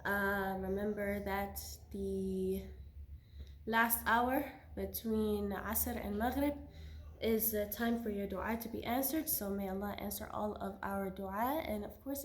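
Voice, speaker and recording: A woman in her thirties, recovering from a cold, chatting casually and warmly as she shares her hair care routine. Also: medium pitch, a little hiss or hum. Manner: chatty, friendly, sprinkles religious phrases. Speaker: hijab seller and vlogger. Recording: echoey room.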